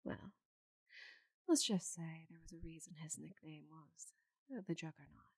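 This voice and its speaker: A woman voicing a giant female orc knight in an ASMR roleplay, confident, teasing and loyal, with soft breathy asides. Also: deep voice, steady tone, unhurried, dry recording, clean studio sound. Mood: wry, proud. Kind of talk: ASMR roleplay, intimate slow delivery.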